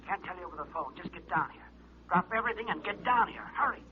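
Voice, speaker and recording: An agitated gym worker on the phone, high and racing with urgency. The line is thin and slightly noisy, in vintage radio style. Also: male voice, animated, fast, dull audio. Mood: urgent. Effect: telephone filter. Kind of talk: 1940s radio acting.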